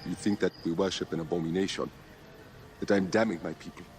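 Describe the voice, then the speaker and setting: An actor playing a fervent, devout priest of a heretical sun cult in a video game, earnest and pleading. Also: male voice, sits low, steady tone, brisk, a little mellow, slight background noise, natural voice. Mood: devout, measured. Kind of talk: dramatic video game cutscene acting.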